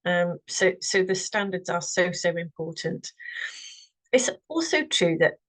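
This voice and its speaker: A woman's voice: on the low side, lively intonation, a little slow, poor audio. Welsh woman presenting on a video call. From Wales. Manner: friendly, earnest, many fillers and restarts. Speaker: webinar speaker. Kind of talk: presentation to online audience.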